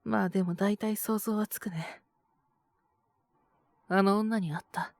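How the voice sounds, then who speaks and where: A woman voicing a calm, caring grown man, a shop owner, with gentle, measured speech in a Japanese audio drama. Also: lightly acted. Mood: grave, apologetic. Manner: gentle, measured, low-key.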